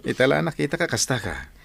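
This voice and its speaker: A man's voice: medium pitch, wildly swooping pitch, normal speed, creaky, a bit bright, full character voice. A man playing an obliging but secretive working husband and father in a radio drama, indulgent and evasive, with an Ilocano accent. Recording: faint background noise, clicks.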